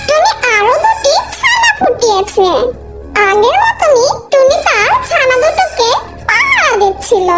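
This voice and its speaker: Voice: extremely high pitch, poor audio. A narrator reading a scripted children's fairy tale for a cartoon. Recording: loud children's music behind the voice.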